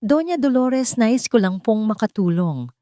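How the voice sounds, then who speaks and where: A woman narrator plays a kindly young housemaid in an audio story. She sounds gentle and respectful yet firm, in a mellow, dry voice. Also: on the high side, a little slow, no room sound, voice pushed up. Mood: calm, firm. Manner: calm but emphatic, respectful.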